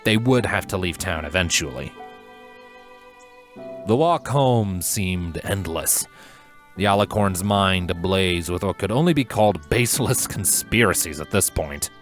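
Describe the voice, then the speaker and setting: A man narrating a scripted story in a measured, dramatic storytelling manner. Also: on the low side. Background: music.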